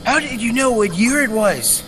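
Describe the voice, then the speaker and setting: Young man acting a high-voiced, excitable scientist. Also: normal speed. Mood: inquisitive. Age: young adult. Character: excitable male scientist and inventor proud of his machine. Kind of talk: old-time radio serial acting. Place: school stage production. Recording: echoey hall with background noise.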